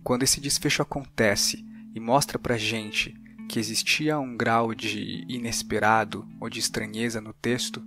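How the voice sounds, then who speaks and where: A man's voice: fairly low pitch, fairly even intonation, unhurried, gravelly, hardly any pauses, a bit bright, soft background music. A man with a Brazilian accent hosting a reflective podcast discussion.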